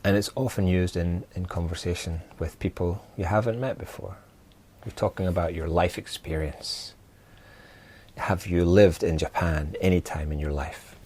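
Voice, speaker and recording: A man from Scotland teaching an informal English lesson, speaking haltingly and giving simple example phrases. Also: deep voice, fairly even intonation, a little mellow. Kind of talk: informal language lesson to camera.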